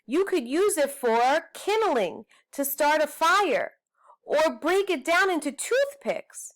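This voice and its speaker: A teasing little boy in a storybook, played by a woman reader. He is contrary and cheeky, pitched high, always needling. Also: expressive, takes it slowly.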